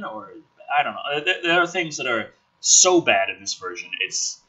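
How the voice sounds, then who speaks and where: A man's voice: mid-range voice, breathy edge, poor audio. A man hosting a commentary show, reading scripts dramatically and reacting in a sarcastic, sweary, exasperated way. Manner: animated, sarcastic, sweary, groaning asides. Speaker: host of a gaming and film commentary channel.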